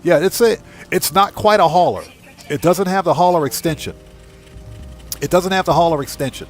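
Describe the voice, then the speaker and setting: A man's voice: fairly high pitch, moderate pace. A man doing casual live game commentary, talking informally to his viewers and repeating phrases for emphasis. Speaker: live streamer and channel host. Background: music.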